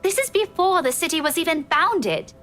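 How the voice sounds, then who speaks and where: A woman playing a sharp-tongued, frustrated young woman in a visual novel, with an exasperated, pressing manner. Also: very high voice, expressive, normal speed, soft background music.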